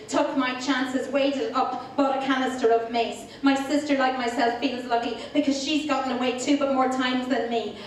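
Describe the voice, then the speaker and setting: A woman with an Irish accent performing spoken-word poetry in a deliberate, rhetorical storytelling delivery. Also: sits high. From Ireland. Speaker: slam poet. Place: indoor poetry slam event. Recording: very echoey room, distant mic, faint noise.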